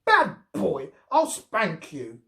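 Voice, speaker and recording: A bossy, playful young girl from a storybook, as a male reader puts her on, squealing high above a grown-up register. The delivery is childish and demanding, full of shrill exclamations, and it rings in a roomy, echoing space. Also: huge pitch swings, extremely slow, vocal fry, a bit bright, exaggerated voice. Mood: playfully bossy. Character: bossy, shrill eight-year-old girl, playful and wheedling. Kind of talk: storybook character voice.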